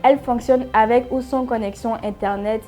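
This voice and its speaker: A woman presenting a scripted narration in a calm, even reading. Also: fairly high pitch, normal speed, soft background music.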